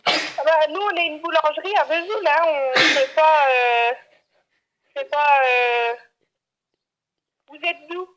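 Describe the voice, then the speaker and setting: A woman working as a shop employee, handling a customer call in a brisk, firm, questioning way. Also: sits high, a bit bright, a little hiss or hum. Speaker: bakery employee. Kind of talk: unscripted customer phone call. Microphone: telephone. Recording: dull, band-limited phone line.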